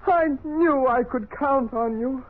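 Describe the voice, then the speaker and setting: An actor playing a gallant, anxious salad spoon knight in 1940s children's radio style. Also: male voice, extremely high pitch, slow. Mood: urgent, commanding. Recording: scratchy, narrowband 1940s radio recording.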